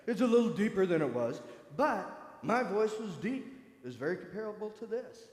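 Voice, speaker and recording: Man over fifty, fairly high-pitched, earnest testimony, long reverb in a big church hall. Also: big pitch swings, moderate pace, a little mellow. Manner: earnest, conversational, addresses listeners directly. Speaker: speaker at a church service. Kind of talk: personal testimony to a congregation. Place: church sanctuary.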